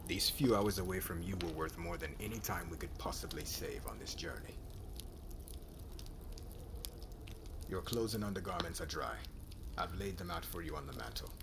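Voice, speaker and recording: A man playing a stern, bitter witch hunter in an ASMR roleplay, cold and commanding, often half-whispering in a breathy voice. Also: low voice, a little fast, background noise. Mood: curt, cold.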